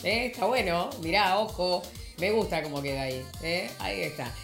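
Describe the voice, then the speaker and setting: A woman's voice: on the low side, moderate pace, gravelly, echoey room, faint music behind. A woman with an Argentine accent hosting a morning radio show, chatting casually and rambling with lots of fillers and restarts. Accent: Argentine Spanish. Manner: warm greeting, rambling with many fillers and restarts. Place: radio studio.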